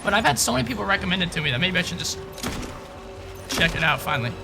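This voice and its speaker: Gravelly, lively male game streamer. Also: high voice, fast talker. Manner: casual, swears, chats with viewers. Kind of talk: live gameplay commentary. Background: game music.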